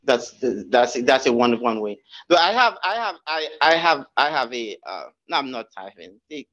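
A man giving a guest talk, answering audience questions in a lecture room, halting with many restarts and repeated words. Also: mid-range voice, very animated, a bit bright, muffled recording. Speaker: guest speaker.

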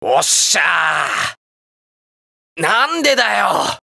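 A hot-blooded young hero from a fighting game. His delivery is bright and forceful with lively swings in pitch and a gravelly edge. He comes across as earnest, boyish and blunt. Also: very slow, no echo, clean studio sound, exaggerated voice. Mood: fired up. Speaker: video game character voice actor. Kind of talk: shouted battle lines and game voice clips.

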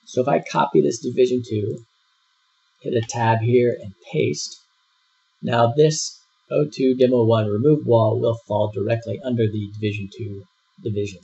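A man giving a screen-recorded software tutorial, walking through each step in a relaxed way with frequent fillers. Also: medium pitch, normal speed, gravelly, breathy edge. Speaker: software instructor. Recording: dull lo-fi voice-over.

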